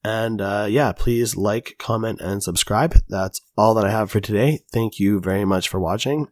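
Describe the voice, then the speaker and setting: A raspy-voiced man walks through his code in a relaxed, chatty way, still hoarse from a recent illness. His voice sits fairly low, and the recording is close and dry with no room sound. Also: moderate pace, dry recording. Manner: casual, chatty, many fillers. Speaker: tutorial host. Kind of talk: screen-recorded coding tutorial.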